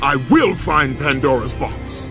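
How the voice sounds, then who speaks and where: An actor playing a wrathful, vengeful Greek warrior in a video game, gruff, forceful and declamatory. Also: male voice, medium pitch, big pitch swings, a little slow, over music. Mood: defiant rage. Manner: grave, declamatory. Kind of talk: dramatic video game acting.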